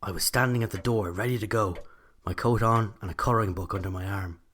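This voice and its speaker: A man's voice: lowish voice, fast talker, fairly bright tone, a little hiss or hum. A man with an Irish accent narrating a scripted first-person story.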